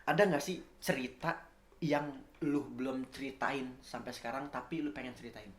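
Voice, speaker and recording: A man's voice: medium pitch, steady tone, moderate pace, reverberant, a little hiss or hum. A man with a Jakarta Indonesian accent hosting a casual comedy chat in a jokey, slangy, informal way. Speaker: host of a comedy talk show. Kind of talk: casual joking chat with a guest.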